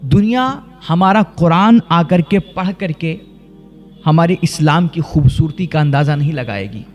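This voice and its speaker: A man from India preaches in an earnest, emphatic way, leaning on rhetorical questions and deliberate repetition. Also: a little high, lively intonation, soft background music. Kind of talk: rhetorical religious sermon.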